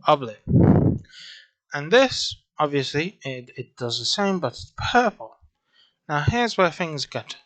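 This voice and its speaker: A man's voice: fairly high pitch, bright, forceful tone, rough recording. A man casually walking through a program on screen, chatty and rambling, his voice just back after losing it. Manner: chatty, rambling, self-correcting. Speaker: software tutorial creator. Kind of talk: casual screen-recorded walkthrough.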